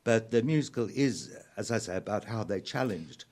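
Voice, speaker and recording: Mature South African male interview guest, low voice, reflective and measured. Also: lively intonation, a little mellow. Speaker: interview guest, author and lyricist. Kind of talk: reflective radio interview talk.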